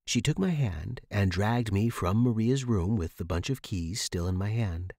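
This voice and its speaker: A man's voice: fairly low pitch, lively intonation, normal speed, a little mellow, no echo, studio quality. A man reading scripted first-person suspense fiction as a podcast narrator.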